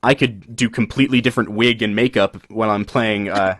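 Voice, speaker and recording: Low-voiced, rambling podcast co-host man. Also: a little fast, no room sound, studio quality. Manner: relaxed, rambling, many fillers. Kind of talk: casual chat.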